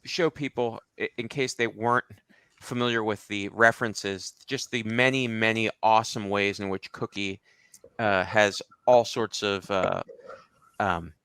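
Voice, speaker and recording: A man chatting casually and jokingly as a show regular, in a halting, meandering way. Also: on the low side, fairly even intonation, a bit bright, clean tone, dry recording. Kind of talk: casual comedic chat.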